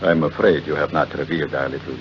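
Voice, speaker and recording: An actor playing a smug, shabby-genteel blackmailer of about fifty in old radio drama, speaking with formal, unhurried mock courtesy. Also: male voice, a bass voice, steady tone. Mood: oily, polite. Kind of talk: 1940s radio acting. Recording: dull, noisy old radio recording.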